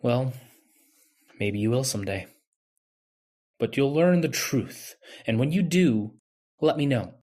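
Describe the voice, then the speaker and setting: A man's voice: mid-range voice, animated, slow pace, long pauses, a little mellow. A man playing a wronged young medical intern, bitter and brooding, in old-time radio drama style. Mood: resigned, wounded.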